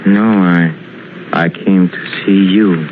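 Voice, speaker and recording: Slow, halting, obsessive killer. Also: male voice, sits low, steady tone, noisy background. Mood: quietly menacing. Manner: halting, repetitive, broken phrases. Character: halting, menacing young male killer, obsessive and brooding. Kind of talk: 1940s radio suspense acting, confessional monologue. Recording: muffled old radio recording with music.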